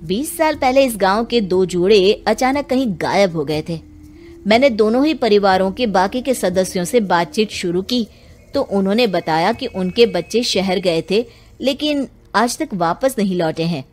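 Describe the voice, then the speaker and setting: A woman voicing a diligent male police inspector, earnest and deferential, in an animated moral story. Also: medium pitch, normal speed, natural voice.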